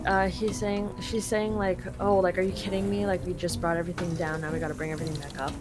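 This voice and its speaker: A woman's voice: fairly low pitch, flat delivery, fast talker. A woman from Canada casually translating a game live, paraphrasing loosely with lots of fillers. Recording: game music behind.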